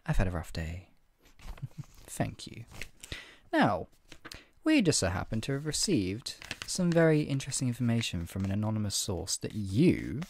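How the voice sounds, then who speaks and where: Man acting stern redcoat interrogator, low-pitched. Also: darkish tone, slight background noise. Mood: firm, warning. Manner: clipped, authoritative, formally polite with condescending threat. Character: stern, menacing British redcoat soldier interrogating a colonist. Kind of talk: ASMR roleplay, one-sided scripted dialogue.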